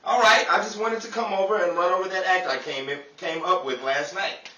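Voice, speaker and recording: A man's voice: high-pitched, a little fast, bright, forceful tone. A man playing a genial, easily flustered showman in an exclamatory 1930s-style radio comedy. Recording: roomy, distant, faintly noisy.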